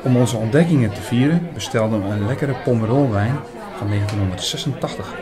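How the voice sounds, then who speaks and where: A man playing an earnest older priest who narrates a radio drama in a measured way, with dramatic pauses. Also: mid-range voice, over music, natural voice. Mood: calm. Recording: busy chatter behind the voice. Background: busy restaurant crowd.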